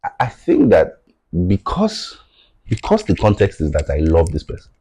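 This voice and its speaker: Deep-voiced Nigerian man in his thirties. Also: wildly swooping pitch, moderate pace, warm tone, slightly rough. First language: Yoruba. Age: 30s. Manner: earnest, explains at length, often checks in with "right?". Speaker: podcast guest. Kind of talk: reflective conversational podcast talk.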